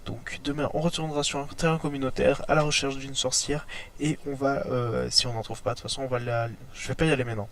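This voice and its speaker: A man's voice: medium pitch, steady tone, quick pace, gravelly, hardly any pauses. A man with a cold and a congested throat, chatting casually as he plays a life-simulation game and talks to his sim and his viewers. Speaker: let's play host. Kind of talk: casual gameplay commentary.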